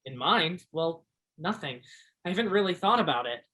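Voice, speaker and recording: A man's voice: a little high, animated, normal speed, pushed and bright. Man playing an earnest young backwoodsman. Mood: unsure. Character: earnest, shy young Alaskan backwoodsman who loves Shakespeare. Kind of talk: one-act stage play acting.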